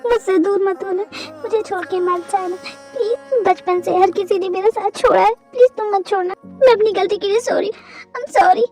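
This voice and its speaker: A woman voicing a fragile young wife in a drama, pleading in a high, tearful voice. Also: moderate pace, darkish tone, soft background music.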